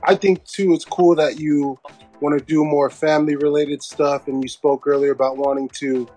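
A man's voice: fairly high pitch, steady tone, moderate pace, dark tone, faint music behind. A man co-hosting a casual interview, talking in an encouraging, affirming way. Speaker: podcast co-host, interviewer.

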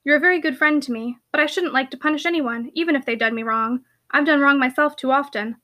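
Woman voicing a gentle, sorrowful young gentlewoman, high-voiced. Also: fast talker. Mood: gentle, rueful. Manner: subdued, courteous, hesitant. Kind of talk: audiobook character voice.

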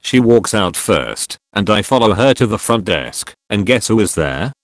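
A man narrating posts in an even, steady storytelling manner. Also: on the low side, normal speed, no room sound. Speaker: narrator reading online posts. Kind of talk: scripted narration.

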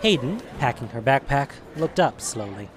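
A man's voice: mid-range voice, sing-song, normal speed, crowd noise. A man narrating a scripted audio drama as a storyteller.

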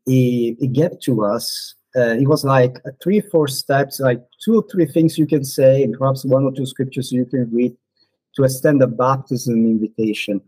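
A man in his forties, a non-native English speaker, casually reminiscing in an interview with frequent pauses and fillers. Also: mid-range voice, mellow, dry recording, poor audio. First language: not English. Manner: hesitant, many fillers and restarts. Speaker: podcast guest, former missionary.

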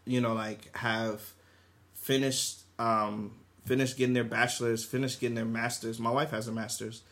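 A man in his twenties sharing personal experience in a casual, halting, slangy conversational way. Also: mid-range voice, flat delivery, fairly bright tone. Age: 20s. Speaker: podcast panelist. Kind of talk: casual chat, personal anecdote.

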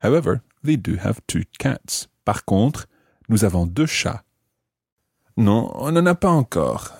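A man's voice: fairly low pitch, very animated, unhurried, gravelly, darkish tone, slightly rough, no echo, studio quality. A man teaching a language lesson as host, carefully breaking phrases down and translating them in a didactic way. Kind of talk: explanatory French grammar lesson, phrase by phrase.

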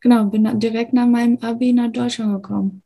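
A young woman from Albania speaks, pausing often and filling gaps with hesitations as she answers. Her voice sits a little high, with a mellow, husky tone. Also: fairly even intonation. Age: 20s. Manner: hesitant, many fillers and restarts. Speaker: guest, computer science student. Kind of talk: casual interview answers.

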